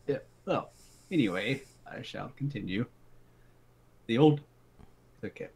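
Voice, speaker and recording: A man's voice: mid-range voice, animated, a little slow, gravelly, darkish tone, breathy edge. A man giving a dramatic comic reading in a casual group voice chat, prone to breaking into giggles. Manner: giggly, often breaks into laughter. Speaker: reader of a comic story. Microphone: home mic in an online voice chat.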